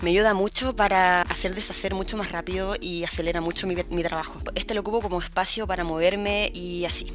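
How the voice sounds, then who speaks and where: A young woman with a Chilean accent, chatting her way through a relaxed digital art tutorial as the channel's host, often restarting her words. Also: lowish voice, a little fast, hardly any pauses, over music. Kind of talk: casual tutorial narration.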